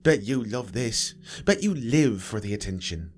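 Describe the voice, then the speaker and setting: A man's voice: lowish voice, animated, unhurried, soft background music. A man doing a cruel, sneering nurse. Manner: sneering, snapping, contemptuous. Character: cruel, bitter middle-aged female nurse.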